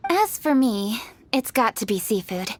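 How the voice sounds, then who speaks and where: A woman's voice: a little high, big pitch swings, unhurried, no room sound. A woman playing a composed, dutiful young female official in a video game, matter-of-fact and businesslike. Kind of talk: video game cutscene acting.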